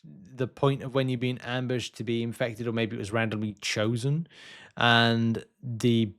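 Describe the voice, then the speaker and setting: A man's voice: medium pitch, little pitch movement, measured pace, a bit bright. A man hosting a gaming news video, reading out announcements and musing on them in a casual, hesitant, rambling way. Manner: hesitant, rambling, thinking aloud.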